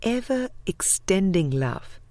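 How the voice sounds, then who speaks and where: A woman reading a spiritual audiobook with measured, deliberate phrasing. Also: medium pitch, big pitch swings, mellow, no echo. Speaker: audiobook reader.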